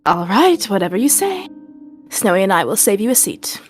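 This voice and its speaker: Wry, lively fantasy adventurer played by a woman. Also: medium pitch, moderate pace, soft background music, natural voice. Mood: practical. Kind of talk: tabletop roleplay.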